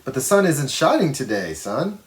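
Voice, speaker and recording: A man plays a caring storybook mother who questions her son gently. The delivery is animated, with wide swings of pitch. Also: normal speed, close to an everyday voice. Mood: puzzled.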